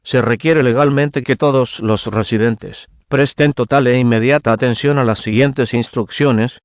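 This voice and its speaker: A man's voice: mid-range voice, fairly even intonation, normal speed, dry recording, lo-fi sound. A man reading a formal scripted national emergency broadcast as a government announcer.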